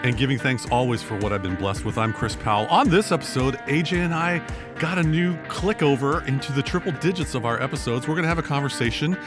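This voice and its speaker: A man's voice: mid-range voice, fast, over music. A man co-hosting a casual podcast chat, playful and jokey with an upbeat delivery. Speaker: podcast co-host.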